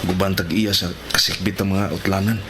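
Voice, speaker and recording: A man playing a calm, businesslike husband and father in a radio drama. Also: sits low, brisk, lightly acted. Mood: relieved. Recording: clearly noisy track with ambience.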